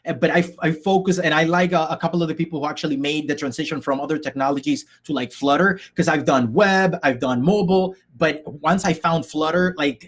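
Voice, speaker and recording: A man in his thirties from the Dominican Republic, a native Spanish speaker, teaching a live online coding workshop over a video call, upbeat, with many fillers and restarts. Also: a little high. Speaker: workshop instructor.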